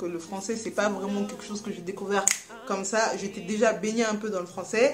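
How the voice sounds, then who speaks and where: A young woman from Congo, raised in France, talking casually in a personal vlog. She talks chattily and pokes fun at herself as she switches between languages, and her English carries a French accent. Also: medium pitch. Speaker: vlogger.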